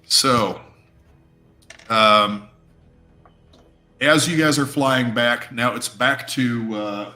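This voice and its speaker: A man works as game master, narrating slowly in a roomy, echoey space. He feels his way through the scene out loud and swears casually, with music playing underneath. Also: medium pitch. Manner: halting, thinking aloud, casually profane. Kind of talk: improvised narration of a tabletop game. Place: indoor room on a live stream.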